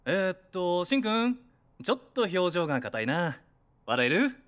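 A high-voiced, upbeat photographer. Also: male voice, sing-song, a bit bright. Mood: upbeat, encouraging. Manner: brisk, encouraging. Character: upbeat, coaxing male photographer on a shoot. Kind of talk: anime-style voice drama acting.

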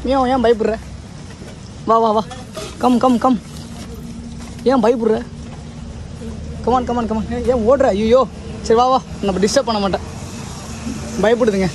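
A man's voice: steady tone, a little slow, long pauses. A young man chatting casually to his viewers on a motorcycle travel vlog, talking to them directly, recorded outdoors by a roadside. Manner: chatty, addresses viewers directly, calls to animals in a high sing-song. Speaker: motovlogger. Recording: wind and traffic noise outdoors.

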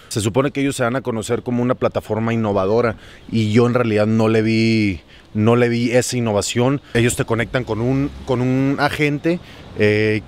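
A man's voice: medium pitch, a little mellow. A young man with a Mexican accent, talking casually to a handheld camera as he walks around the city. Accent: Mexican Spanish. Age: young adult. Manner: chatty, talking while walking with a handheld camera. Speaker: vlogger. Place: city streets and shops outdoors. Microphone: handheld camera at arm's length. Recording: outdoor street noise.

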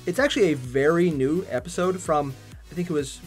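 A young man hosting a reaction video, chatting casually with joking, excitable exclamations. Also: on the high side, fairly quick, soft background music. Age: young adult. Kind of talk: casual banter reacting to an animated parody. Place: small furnished room at home, on a couch.

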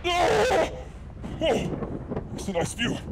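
A man streaming a game live, commenting excitedly and swearing and yelping whenever danger hits. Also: very high-pitched, slow, vocal fry, heavy background noise. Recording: loud game music behind. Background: game music.

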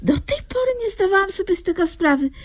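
A woman's voice: very high-pitched, lively intonation, fast talker. An actress playing a flustered, long-suffering woman in a Polish radio comedy, stammering and repeating her words. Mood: weary resignation.

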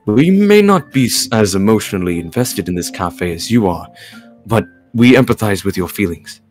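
Man voicing catboy butler, visual novel mentor, polite, exacting, formal phrasing, lowish pitch, faint music behind. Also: expressive, a little mellow. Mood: polite, composed. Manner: formal, measured, courteous. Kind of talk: amateur visual novel dub.

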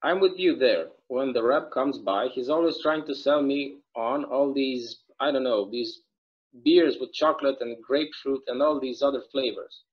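A man's voice: mid-range voice, moderate pace, close to an everyday voice. A young man playing a chatty, folksy small-town bartender in a table read of a stage play, rambling through local stories over a video call. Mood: easygoing. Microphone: video call microphone. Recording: dull, lo-fi video call audio.

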